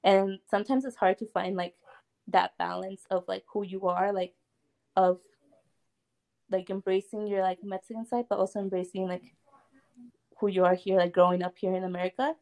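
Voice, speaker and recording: A woman's voice: mid-range voice, little pitch movement, moderate pace, long pauses, darkish tone. A young woman on a student panel, talking hesitantly and using "like" constantly. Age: young adult. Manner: hesitant, heavy use of "like". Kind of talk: casual panel discussion.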